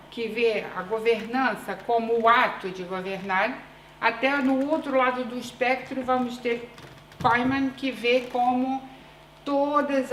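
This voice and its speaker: A woman researcher with a Brazilian accent tinged by European Portuguese, giving a conference presentation in a deliberate, measured way with frequent hesitations. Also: fairly high pitch, fairly bright tone, a little hiss or hum. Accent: Brazilian Portuguese with European Portuguese influence. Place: conference room. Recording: echoey room with faint ambience.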